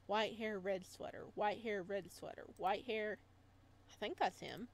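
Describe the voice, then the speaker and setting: A woman doing a let's play, reading story text aloud and adding expressive, chatty reactions in a casual style. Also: mid-range voice, a little slow. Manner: expressive, chatty asides between read passages.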